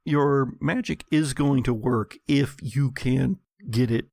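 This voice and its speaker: Slow, gravelly American game master. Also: male voice, medium pitch, a little mellow, dry recording. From United States. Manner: measured, descriptive, with deliberate pauses. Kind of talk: tabletop game narration and rulings for an actual-play podcast. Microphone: close studio microphone.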